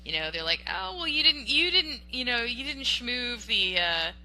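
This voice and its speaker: A woman on a podcast from Western Washington, debating politics in an animated, emphatic way, restarting sentences and tagging them with "okay?". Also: fairly high pitch, normal speed, slight background noise, rough recording. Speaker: podcast co-host.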